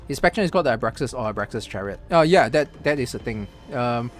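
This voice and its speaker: A man's voice: medium pitch, lively intonation, quick pace. A man from Singapore giving casual live-stream commentary on a game's story, thinking aloud with lots of fillers and restarts. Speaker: streamer reacting to a game story.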